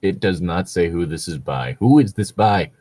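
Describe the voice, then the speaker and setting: A man's voice: low voice, animated, moderate pace, darkish tone, no echo, clean studio sound. A man casually bantering and reading online stories aloud, with a wry, joking, sometimes profane manner. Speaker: co-host reading online tabletop gaming horror stories.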